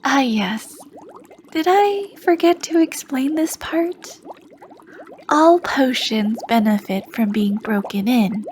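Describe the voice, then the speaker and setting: A sly, charming female potion master purrs at an unhurried pace, as a woman acts her out. Her voice sits a little high and soft, and she slips into breathy whispers as she flirts over her brewing. A faint noise hums underneath. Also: lively intonation. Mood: soft flirty. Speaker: shopkeeper. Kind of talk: ASMR roleplay.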